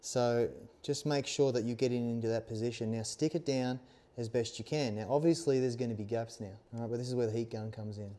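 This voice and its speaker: A man's voice: mid-range voice, steady tone, a little fast, dark tone. A man, talking through a practical demonstration in a casual, reassuring way and often checking in with short questions. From Australia. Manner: informal, reassuring, often checks with "okay?" and "all right?". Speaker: instructor, helmet accessory maker. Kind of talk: casual hands-on tutorial. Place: small workshop studio indoors, seated at workbench.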